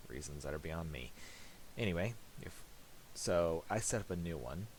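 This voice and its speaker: A man narrating a casual, unscripted software tutorial, halting and thinking aloud as he goes. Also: low-pitched, lively intonation. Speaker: tutorial presenter. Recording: faint hiss and mouse clicks.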